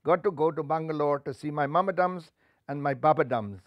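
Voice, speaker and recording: A man's voice: a little high, brisk, dry recording, muffled recording, lightly acted. A man voicing a little, anxious but enthusiastic male rabbit with an Indian accent in a storybook. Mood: flustered eagerness.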